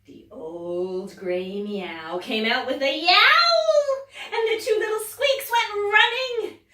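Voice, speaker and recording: Teacher lady reading a kids story, slow and animated, whispers then big exclaims, roomy echo. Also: very high-pitched. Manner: expressive, swinging from whispers to loud exclaims. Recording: echoey room, distant mic.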